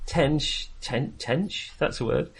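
A man's voice: mid-range voice, slow pace, vocal fry, husky. A man co-hosting a film podcast, chatting casually in a hesitant, wandering way with self-amused asides.

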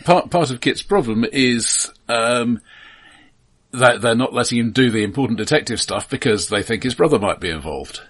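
A man co-hosting a film podcast in casual discussion, speaking in a halting way with dry asides. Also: mid-range voice, expressive, a bit bright, breathy edge.

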